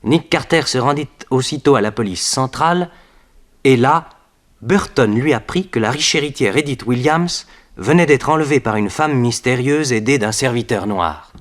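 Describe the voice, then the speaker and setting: A man's voice: medium pitch, expressive, normal speed, natural voice. A man narrating a 1960s French radio detective serial in a scripted, dramatic style.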